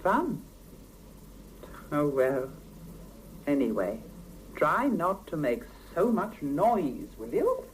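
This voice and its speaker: An actress in a 1970s radio drama playing a brisk, earnest seventy-year-old Soviet woman who mothers and admonishes her juniors, on an old cassette recording. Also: deep voice, sing-song, a little hiss or hum, heavily acted, poor audio. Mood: reflective, firm.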